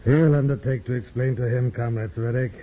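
An actor playing a menacing communist spymaster in a 1950s radio drama, formal, measured and imperious. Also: male voice, mid-range voice, steady tone, lightly acted. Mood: commanding. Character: smooth, menacing, commanding male communist spymaster. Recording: muffled, faintly noisy 1950s radio recording.

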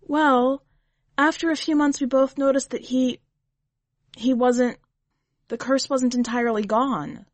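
A woman voicing a tearful young wife who speaks in an emotional, halting way. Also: high voice, fairly even intonation, no echo, pitched above the performer's own voice.

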